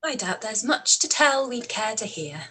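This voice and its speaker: Defiant young gentlewoman for period radio drama, played by a woman: fairly high, husky, dryly sarcastic. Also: very animated, normal speed, full character voice. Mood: tart. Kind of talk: live-read period radio play.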